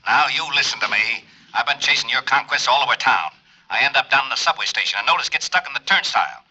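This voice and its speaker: An actor playing a gruff, exasperated male police lieutenant who talks brusquely and scolds in an old radio drama. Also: mid-range voice, animated, fast, pushed and bright. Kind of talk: 1950s radio drama acting. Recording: muffled vintage radio broadcast.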